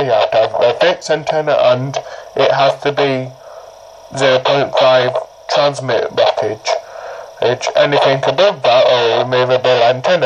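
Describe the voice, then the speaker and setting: A man presents an informal how-to in a slow, halting delivery. He repeats himself and keeps pausing to check in. His voice is mid-pitched, with faint noise on the homemade recording. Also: muffled recording. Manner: halting, repetitive, often checking with "right". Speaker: presenter of a tutorial. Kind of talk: informal hands-on demonstration.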